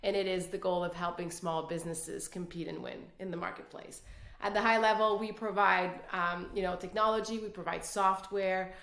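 A woman's voice: lowish voice, fairly even intonation, normal speed, a bit bright. Woman in an echoey room. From Quebec City, Canada. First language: French. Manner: earnest, many restarts and fillers. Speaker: guest executive. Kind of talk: podcast interview. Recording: roomy echo.

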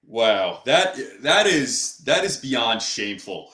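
A man's voice: mid-range voice, sing-song, takes it slowly, pushed and bright. A man hosting an interview, talking in long, rambling turns full of fillers. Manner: rambling, with many "you know" fillers. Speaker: host. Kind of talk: interview conversation. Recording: roomy sound.

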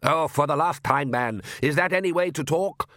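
A man's voice: fairly high pitch, moderate pace, bright, forceful tone, no room sound, voice pushed up. Urbane vampire patriarch , done by a male narrator: conciliatory, pompous, faintly exasperated, close dry storybook sound. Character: urbane vampire father, patronizing, conciliatory head of family.

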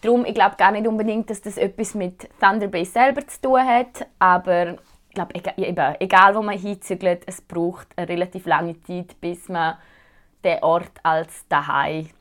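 A woman's voice: lowish voice, moderate pace. Chatty Swiss German woman vlogging. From Switzerland. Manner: chatty, relaxed, many fillers. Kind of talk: casual chat answering viewer questions.

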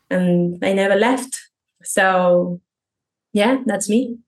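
A woman's voice: mid-range voice, very slow, poor audio. A woman in her thirties from Argentina, speaking English with an Argentine Spanish accent as a podcast guest, thoughtful and laughing lightly as she talks. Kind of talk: conversational interview.

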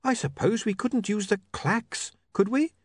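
A man doing a brisk practical young woman. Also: sits high, no echo, pitched above the performer's own voice.

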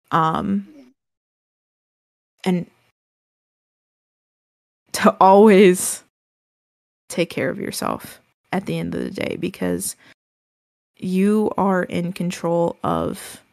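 A woman co-hosting a casual podcast, speaking gently and haltingly with many ums and restarts, her voice described as soothing. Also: on the low side, gravelly, no room sound. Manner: gentle, reassuring advice. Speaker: podcast co-host.

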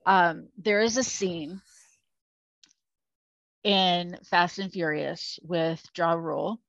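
A woman's voice: fairly low pitch, steady tone, slow pace, gravelly, fairly bright tone, no room sound, dull audio. A woman co-hosting a podcast in casual chat, rambling with lots of fillers. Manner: rambling, filler-heavy, self-deprecating. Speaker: podcast co-host.

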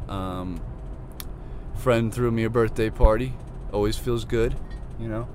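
A man's voice: lowish voice, steady tone, a little slow, a little mellow. Twenty-year-old man with a crackly, worn voice. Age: 20s. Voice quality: crackly, losing his voice. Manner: rambling, irritated, self-deprecating, swears freely. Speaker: podcast host. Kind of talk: casual solo podcast monologue. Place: inside a parked car. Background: faint car and street noise.

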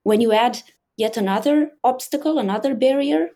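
A woman host whose first language is Romanian, interviewing a guest and answering with frequent soft affirmations. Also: fairly high pitch, normal speed. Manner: attentive, many soft affirmations, some restarts. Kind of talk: conversational interview.

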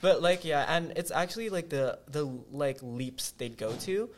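A man's voice: on the high side, animated, normal speed. A young man from Indonesia, a native Indonesian speaker, telling stories as a guest in a casual podcast chat, with many fillers and a joking tone. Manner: chatty, filler-heavy, jokey storytelling.

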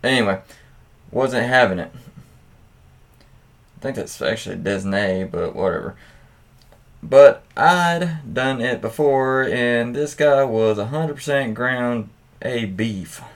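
A man's voice: medium pitch, slow, pauses often. A man hosting a casual story read-aloud, speaking candidly and crudely with his own reaction asides. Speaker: host reading stories aloud with commentary.

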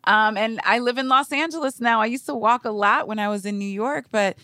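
A woman's voice: on the high side, brisk, bright, forceful tone, no room sound, studio quality. A woman co-hosting a casual conversational podcast, speaking candidly in colloquial, self-deprecating language. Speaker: podcast co-host.

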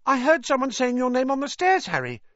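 Starstruck chatterbox schoolboy for an audiobook: high, breathless, very animated, slightly dull dry sound. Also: male voice, no echo, heavily acted. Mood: eager, excited. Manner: breathless, gushing, rapid questioning.

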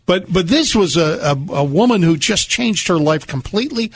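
Higher-voiced man hosting talk radio. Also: expressive, moderate pace. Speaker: radio show co-host. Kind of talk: conversational radio talk with storytelling. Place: radio studio.

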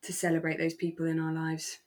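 Woman in relaxed, reflective chat. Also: low-pitched, brisk. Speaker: podcast co-host. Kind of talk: relaxed casual chat about a radio drama.

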